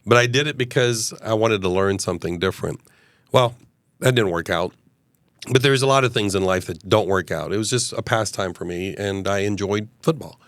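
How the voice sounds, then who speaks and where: A man's voice: on the low side, normal speed, a bit bright, dry recording. A man in his fifties from Uganda, a mayoral candidate telling his life story in a casual interview, earnest and expansive with frequent fillers. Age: 50s.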